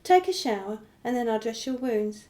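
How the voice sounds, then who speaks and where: A woman's voice: a little high, lively intonation, moderate pace, mellow, lightly acted. An actress playing a doting, practical wife in a radio play, fussing caringly over her husband. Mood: concerned, caring. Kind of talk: radio drama acting.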